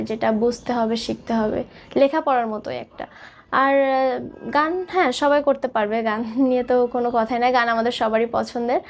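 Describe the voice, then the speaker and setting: A woman's voice: fairly high pitch, a little hiss or hum. A woman, a singer giving thoughtful, somewhat hesitant answers as an interview guest.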